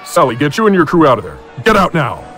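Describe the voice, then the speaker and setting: A man's voice: a little high, wildly swooping pitch, quick pace, fairly bright tone, soft background music, a faint crowd. A man acting as an urgent newscaster anchoring a broadcast in a radio drama. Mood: alarmed.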